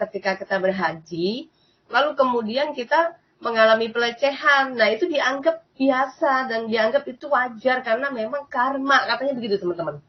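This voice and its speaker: A woman host giving casual spoken commentary, chatty and repetitive, often turning to address her listeners. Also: mid-range voice. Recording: dull lo-fi sound.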